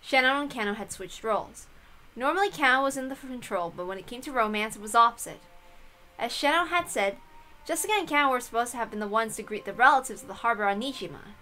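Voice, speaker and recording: Woman, story narrator, bright tone, medium pitch, expressive scripted reading, faint music underneath. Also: fast, rough recording. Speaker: reader of visual novels.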